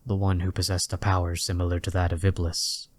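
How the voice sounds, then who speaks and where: A deep male narrator reads a dramatic fantasy story from a script. His delivery is deliberate, with weighty pauses between phrases. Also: monotone.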